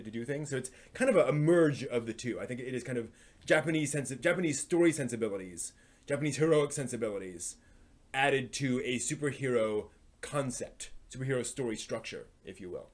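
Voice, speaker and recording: A man hosting a live stream, talking in a casual, analytical way and often halting as he thinks aloud. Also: medium pitch. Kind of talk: casual rambling live commentary on anime and comics.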